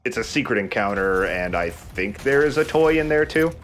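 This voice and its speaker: A man's voice: lowish voice, animated, moderate pace, a bit bright, slight background noise. A man hosting a let's play, giving casual, joking commentary on a video game. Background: game music.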